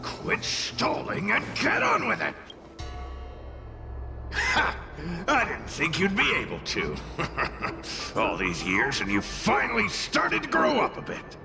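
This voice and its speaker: A man's voice: high-pitched, wildly swooping pitch, slow pace, vocal fry, long pauses, music in the background, full character voice. A man voicing a brash, cantankerous tail spirit in a video game, scornful and blustering. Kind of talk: video game character acting.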